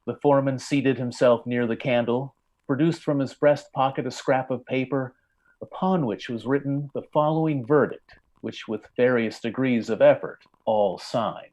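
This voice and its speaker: A man narrating a scripted tale in the style of an old time radio drama, with measured, grave storytelling. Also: medium pitch, darkish tone, poor audio.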